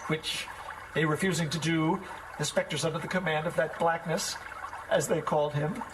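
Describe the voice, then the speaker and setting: An actor playing a timid, superstitious schoolmaster in an audio drama, speaking in a formal, wordy, nervous way. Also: male voice, on the high side, fairly even intonation, moderate pace, noisy background, natural voice. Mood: grave, absorbed. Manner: bookish, formal, wordy, jittery.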